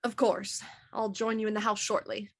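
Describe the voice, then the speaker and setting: A woman's voice: medium pitch, level tone, moderate pace, natural voice. A woman playing a shrewd, unflappable police inspector in a radio murder mystery, with a dry, self-assured manner. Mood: confident, deducing. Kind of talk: audio drama acting, Victorian murder mystery radio program.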